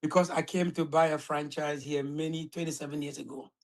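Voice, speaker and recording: A man's voice: on the high side, level tone, fairly quick. A middle-aged man from Ghana chatting casually on a video call, telling his own story as a business owner.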